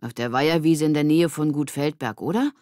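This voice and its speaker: Woman as a blunt, offhand schoolboy, low-pitched. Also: a little fast, mellow, no room sound, clean studio sound, lightly acted. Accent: standard German. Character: gruff, reluctant schoolboy, casual and blunt.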